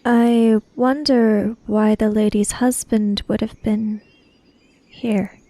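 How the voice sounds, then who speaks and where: A woman roleplaying a formal, reserved traveller in a samurai fantasy tabletop game, speaking in careful, measured phrases. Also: mid-range voice, little pitch movement, dark tone, dry recording, close to an everyday voice. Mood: measured, pensive. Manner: formal, measured, avoids contractions. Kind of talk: in-character roleplay dialogue.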